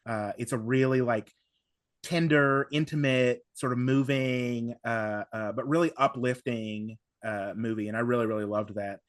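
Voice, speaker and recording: Male podcast guest, medium pitch, effusive and halting, casual recommendation chat, dry recording. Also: steady tone, no room sound.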